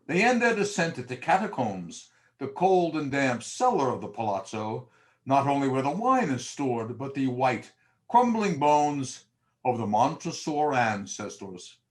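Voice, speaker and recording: Animated male storytelling narrator. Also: mid-range voice, a little slow. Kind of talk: dramatic scripted narration.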